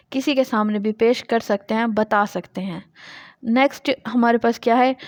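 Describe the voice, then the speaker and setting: A woman with a Pakistani accent delivers an explanatory lecture in a fairly high-pitched voice. She is didactic, restating points and asking questions to make them clear. The sound is very dry, with hardly any room to it. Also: no room sound. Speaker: law lecturer. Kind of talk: explanatory lecture, reading legal text aloud and explaining it.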